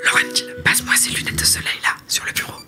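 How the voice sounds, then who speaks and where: A woman playing an excitable, bossy time traveller in an audio comedy serial, theatrical and dropping English words into her French. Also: fast talker, in a whisper, over music, natural voice. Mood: urgent, hushed.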